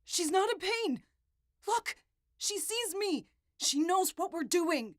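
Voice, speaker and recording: Distraught man in an audiobook played by a woman: very high, swooping, pleading cries, drawn out. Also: expressive, slow pace, no room sound, studio quality, heavily acted. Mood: desperate pleading. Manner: crying out, pleading. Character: desperate, distraught man pleading for loved one.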